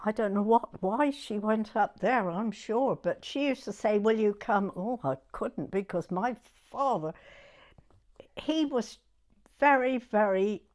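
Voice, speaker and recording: Elderly southern English woman, lively pitch, unhurried. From Dorset, England. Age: 90s. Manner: chatty, halting, peppered with "you know". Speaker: oral history interviewee. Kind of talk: informal reminiscence in an interview.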